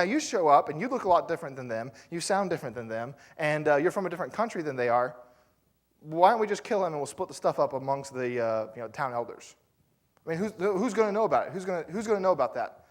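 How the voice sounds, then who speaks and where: A male pastor preaching with a fairly high voice. He talks loosely and conversationally, full of fillers and quick asides to the congregation. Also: expressive. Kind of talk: sermon.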